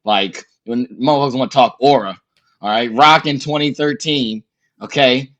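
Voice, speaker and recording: A man's voice: mid-range voice, moderate pace, a bit bright, dull audio. Emphatic man hyping his point, casual. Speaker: podcast host. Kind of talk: casual wrestling banter.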